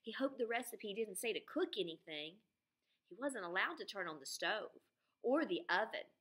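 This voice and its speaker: Female teacher, bright forward tone, expressive children's read-aloud with simple questions to young listeners. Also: medium pitch, moderate pace, husky.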